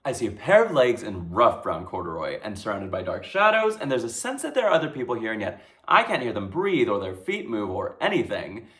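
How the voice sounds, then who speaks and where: A man playing a dazed, terrified young street hustler in a breathless, halting dramatic stage monologue. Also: lowish voice, big pitch swings, fairly bright tone. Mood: dazed, tense. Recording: echoey room.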